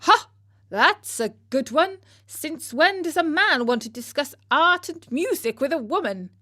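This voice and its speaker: A woman's voice: sits high, expressive, unhurried, sharp tone, voice pushed up, exaggerated voice. Cheeky kitchen maid, played by a woman. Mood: scoffing. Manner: gossipy, mocking. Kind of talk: storybook character voice.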